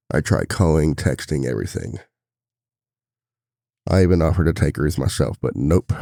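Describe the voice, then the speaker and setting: A man playing a devoted, worried single-dad boyfriend in an intimate ASMR roleplay, confiding softly with a gravelly voice. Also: dry recording, studio quality, full character voice. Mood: resigned, exasperated. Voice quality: very deep, gravelly.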